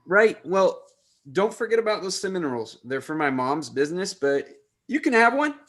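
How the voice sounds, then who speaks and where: A man's voice: high voice, sing-song, moderate pace, a bit bright, heavily acted. A man playing an easygoing, chummy, teasing teenage son in a comedy table read. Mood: teasing, fond.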